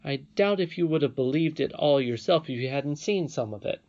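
A woman playing a gentle, loving old grandmother in a storybook, patient and wise. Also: deep voice, expressive, a little fast, highs cut off. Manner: sweet, patient. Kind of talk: storybook character reading.

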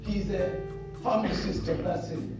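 Kenyan preacher in an echoey hall. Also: male voice, high voice, normal speed. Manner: lively, joking, call-and-response with the congregation. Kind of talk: sermon. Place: church hall. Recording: echoey hall from far off, music behind.

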